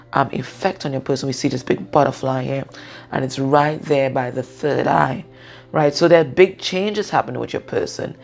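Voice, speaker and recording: A woman speaks in a husky, low-pitched voice with plenty of gravelly fry. She talks casually and without a script, as a card reader does, pausing and checking in with the listener as she goes. Faint music plays behind her. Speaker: tarot and oracle card reader. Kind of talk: casual spoken card reading.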